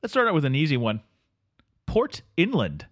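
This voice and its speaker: A man's voice: on the high side, wildly swooping pitch, normal speed, fairly bright tone, exaggerated voice. A man playing a jokey Canadian character with a folksy, teasing manner as a podcast co-host. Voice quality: folksy, teasing, calls everyone buddy. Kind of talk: comedy podcast banter.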